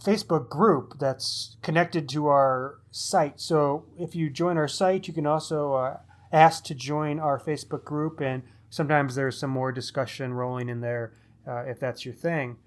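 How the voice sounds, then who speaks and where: Higher-voiced man chatting on a podcast. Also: steady tone, a little slow. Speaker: podcast co-host. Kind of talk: casual book podcast chat.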